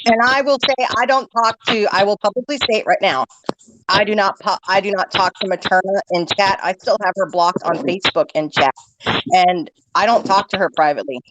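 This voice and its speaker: A woman's voice: medium pitch, fairly quick, a bit bright, dry recording. A woman who is a guest on a livestream, speaking in an outspoken, blunt way. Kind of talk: casual livestream chat. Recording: muffled, noisy call audio.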